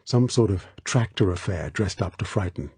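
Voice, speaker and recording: A man voicing a tough, protective action hero in an audiobook, with a clipped, low-key, commanding manner. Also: animated, moderate pace, darkish tone, slightly rough, no echo, exaggerated voice. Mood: brisk, resolute.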